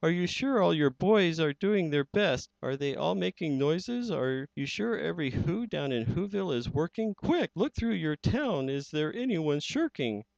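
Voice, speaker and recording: A gentle giant of an elephant pleads earnestly in a lifted, lively voice, as a man puts him on. It has a storybook feel. The sound is dry, dull and lo-fi. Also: a little high, moderate pace, gravelly, few pauses, dry recording, pitched above the performer's own voice. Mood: pleading. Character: big gentle male elephant.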